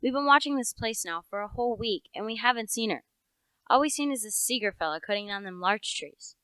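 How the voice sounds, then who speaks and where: Teen girl as clear-voiced, opinionated rustic constable. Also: on the high side, expressive, a little fast, sharp tone, dry recording. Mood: indignant, suspicious. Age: teenager. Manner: folksy, insistent, indignant. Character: opinionated, suspicious rustic village constable named Joe. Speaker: actor in a student radio play.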